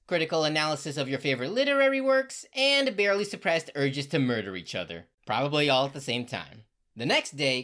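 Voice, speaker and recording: Casting: female comedy recap narrator, deep for a woman, with big expressive pitch movement and irreverent, slangy sarcasm.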